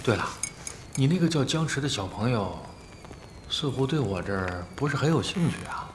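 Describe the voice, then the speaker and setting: A man playing a wealthy, middle-aged business boss and indulgent elder, proud and measured, in a modern audio drama. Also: medium pitch, animated, roomy sound, slight background noise, lightly acted. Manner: measured, authoritative, elder's casual grumbling.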